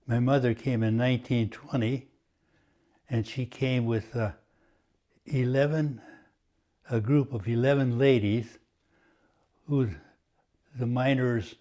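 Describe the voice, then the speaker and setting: An older man from Washington State, Croatian as his first language, slowly recalling his family's past with frequent pauses. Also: mid-range voice, warm tone. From Washington State, USA. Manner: slow and halting, many uh pauses. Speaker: oral history interviewee. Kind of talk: reminiscing, oral history.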